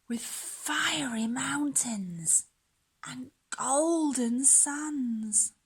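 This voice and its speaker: A woman reading a children's story aloud in a dramatic, measured way, lingering on the rhymes. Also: high-pitched, lively intonation, breathy edge.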